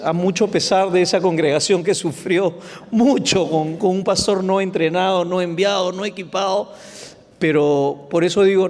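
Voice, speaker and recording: A man, speaking as a church planter on a panel, telling his story earnestly. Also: high voice. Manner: earnest storytelling, acts out prayers. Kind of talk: panel testimony. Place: large hall, panel on stage. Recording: reverberant hall.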